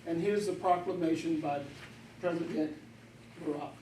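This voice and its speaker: High-voiced older man in an echoey room. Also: fairly even intonation, moderate pace, warm tone. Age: 70s. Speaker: master of ceremonies. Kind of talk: informal event announcements. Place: echoey indoor gathering room. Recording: echoey room, distant mic, faint noise.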